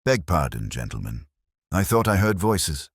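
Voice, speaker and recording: Timid working-class porter, voiced by a male narrator: deferential, hesitant, low-pitched with swinging intonation. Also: very animated, moderate pace, breathy edge, dry recording, studio quality, full character voice. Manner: hesitant, deferential, clipped fragments.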